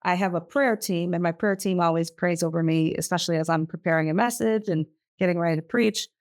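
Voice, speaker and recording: A woman's voice: fairly low pitch, fast, darkish tone. Chatty woman pastor losing her voice. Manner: chatty, laughs easily. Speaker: pastor and podcast co-host. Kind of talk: casual podcast chat.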